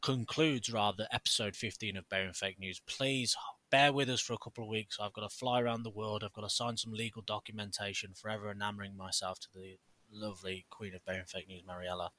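A man's voice: lowish voice, fairly even intonation, a little fast, pushed and bright. A man co-hosting a podcast, theatrical, jokey and sarcastic in casual chat. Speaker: podcast co-host.

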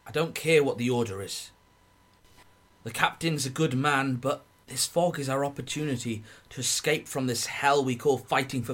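Radio play: male actor as young British deserter, fed up, impassioned and bitter, bright forceful delivery. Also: medium pitch. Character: young war-weary British soldier, bitter and desperate to desert. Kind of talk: radio drama acting.

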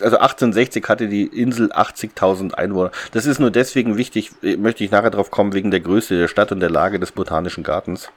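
A man co-hosting a podcast, chatting casually and bluntly about an audio drama in informal language. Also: on the low side. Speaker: podcast co-host.

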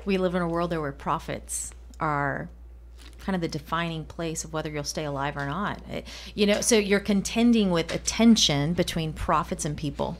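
A woman with a slightly low voice speaks as a guest in a conversational interview. Her answers are reflective and thoughtful, and she sometimes halts as she searches for phrasing. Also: moderate pace, a little hiss or hum. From Colombia. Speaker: interview guest, healthcare department leader.

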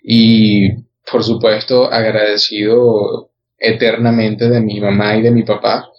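A man with a Venezuelan accent, a guest photographer telling his story in a relaxed way, with many hesitations and repeated words. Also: on the low side, slow pace, highs cut off. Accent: Venezuelan Spanish. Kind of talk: casual interview chat.

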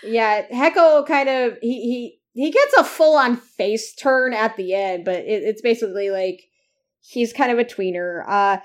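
A woman's voice: a little high, unhurried. A woman co-hosting a casual fan podcast, rambling through her points with lots of fillers. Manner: rambling, heavy use of "like" and "uh". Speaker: podcast co-host.

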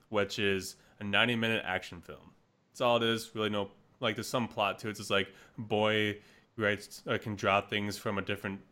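A man's voice: low voice, pushed and bright, clean tone. A man co-hosting a podcast in casual chat, rambling with frequent hesitations. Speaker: podcast co-host.

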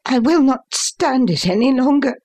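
A man voicing a proud, indignant young gentleman son in a Victorian audiobook, impassioned and defiant. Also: very high voice, animated, normal speed, pitched above the performer's own voice. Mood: wounded, defiant.

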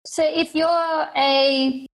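Young Australian woman, fairly high voice, plain unpolished delivery, interview guest. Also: steady tone, very slow, poor audio. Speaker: guest, professional fighter. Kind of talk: interview answers.

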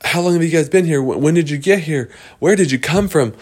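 A man's voice: a little high, fast, husky, voice pushed up. A man voicing eager, wide-eyed children in a bedtime story, exclaiming and asking questions. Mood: amazed, curious. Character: excited wide-eyed children, the Lost Boys and Wendy.

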